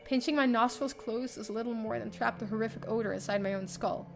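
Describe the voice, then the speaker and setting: High-voiced young woman reading dramatically, music behind. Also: brisk, vocal fry. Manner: expressive, acted-out reading. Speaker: streamer reading a visual novel aloud. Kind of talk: dramatic first-person narration. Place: indoor room, livestream setup.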